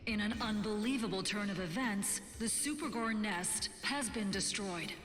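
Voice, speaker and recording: An actress playing a sober resistance radio broadcaster in a video game, delivering war news in a formal, measured, earnest way. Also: female voice, medium pitch, a bit bright, close to an everyday voice. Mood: grave, formal. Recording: echoing broadcast with music bed. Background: music.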